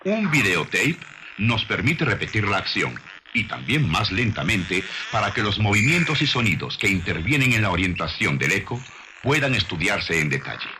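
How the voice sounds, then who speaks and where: Deep-voiced male documentary narrator, noisy soundtrack. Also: very animated. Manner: measured, formal, didactic. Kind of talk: scripted science documentary narration.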